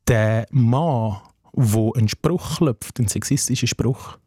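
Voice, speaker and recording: An earnest man with a Swiss German accent talks as a guest in a reflective interview. His voice is warm and mellow, and it often slips into voicing his own inner thoughts. The sound is dry and close. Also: medium pitch, no room sound. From Afghanistan.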